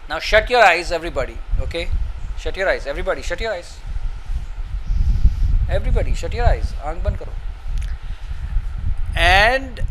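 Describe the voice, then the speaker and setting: A man's voice: high-pitched, bright, forceful tone, slight background noise, rough recording. A man with an Indian accent giving a motivational session to students in a classroom. He repeats each instruction and keeps checking in with "okay?". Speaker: motivational speaker.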